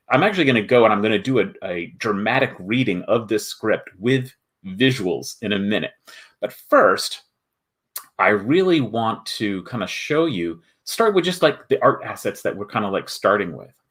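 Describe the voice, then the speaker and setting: Low-voiced man, halting explainer. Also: fairly bright tone. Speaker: host, board game video maker. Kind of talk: casual livestream walkthrough.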